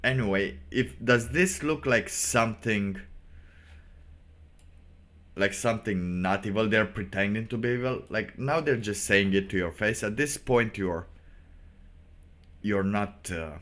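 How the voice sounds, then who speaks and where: Slow, low-voiced man streaming commentary. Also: fairly bright tone. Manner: halting, repeats phrases for emphasis; sardonic. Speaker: livestream host. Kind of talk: casual solo livestream commentary.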